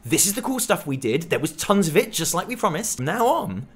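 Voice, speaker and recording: A man's voice: high voice, sing-song, fairly quick, husky. A man hosting a gaming news show, giving scripted comic commentary in a theatrical, emphatic, sweary way.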